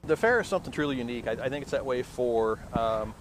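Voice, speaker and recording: A middle-aged man answering interview questions off the cuff, in a halting way with repeated words. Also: medium pitch, lively intonation, slight background noise, rough recording. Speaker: interviewee, local resident.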